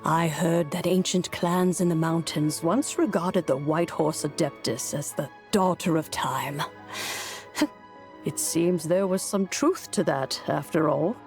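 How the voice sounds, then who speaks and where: A woman voicing a serene, knowing immortal in a video game, reflective and deliberate. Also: deep voice, a little mellow, faint music behind. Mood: serene, thoughtful. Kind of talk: anime-style video game voice acting.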